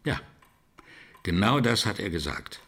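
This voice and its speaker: An actor playing a grave, gravelly-voiced man under questioning in a German radio crime drama. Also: lowish voice, lively intonation, a little slow, pushed and bright, full character voice. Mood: subdued.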